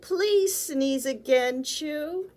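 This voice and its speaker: A woman's voice: high-pitched, very slow, darkish tone, pitched above the performer's own voice. A woman voicing a worried female ice cream seller in a children's picture book, emphatic and pleading.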